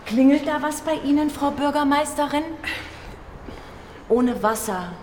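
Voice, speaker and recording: An actress playing a harried, blunt and sardonic hospital doctor in a modern radio drama. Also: female voice, high voice, a little slow, a little mellow. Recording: echoey hospital hall, faint noise.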